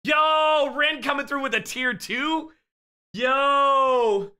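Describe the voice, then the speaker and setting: A man in his thirties streaming from home on a headset, loudly greeting chat with excitable, profane shout-outs while he is losing his voice. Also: extremely high pitch, drawn-out words. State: losing his voice, getting sick. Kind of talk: casual live-stream chat.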